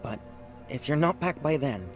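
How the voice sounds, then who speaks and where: A man's voice: on the high side, lively intonation, normal speed, faint music behind, lightly acted. Man as a wary little magical guide. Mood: cautious, ominous. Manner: cautious, earnest, explanatory. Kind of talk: video game voice acting.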